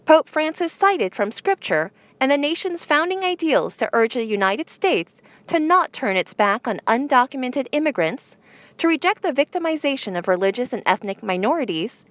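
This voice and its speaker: A woman's voice: high-pitched, no room sound. A woman presenting news headlines, reading from a script.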